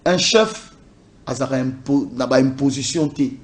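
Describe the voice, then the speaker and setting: A man's voice: mid-range voice, normal speed. A man from DR Congo's Congo Central, a party communication officer, speaking emphatically in a political interview and driving points home with repeated lists. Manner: emphatic, repetitive listing. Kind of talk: political interview, code-switching.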